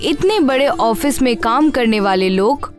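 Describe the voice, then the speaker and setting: Woman reading a cartoon fable, real slow, light music under her. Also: on the high side, animated. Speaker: narrator. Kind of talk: scripted children's story narration.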